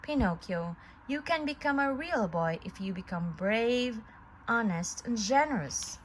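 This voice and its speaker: A woman voicing a sweet, gentle, wise fairy in a children's story. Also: a little high, lively intonation, a little slow, a little hiss or hum, rough recording. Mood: gentle, earnest.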